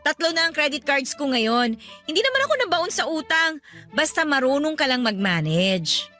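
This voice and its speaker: Radio drama role: a coaxing, animated shopaholic pal, high and bright, over soft music. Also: female voice, moderate pace, heavily acted. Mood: persuasive. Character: lively shopaholic female friend, cheerfully persuasive.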